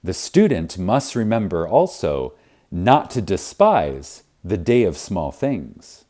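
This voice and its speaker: A man narrating a spiritual audiobook in a reverent, emphatic manner, with deliberate pauses. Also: low voice, big pitch swings, mellow. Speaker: audiobook reader. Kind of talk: scripted narration of a spiritual self-help audiobook.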